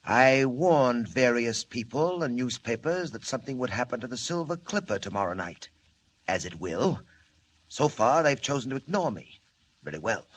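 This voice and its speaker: An actor playing a menacing male criminal mastermind in 1940s radio serial style, speaking slowly and formally with cool threat. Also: mid-range voice, expressive, slight background noise, lightly acted. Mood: cold, ominous. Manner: slow, deliberate, formal, coolly threatening.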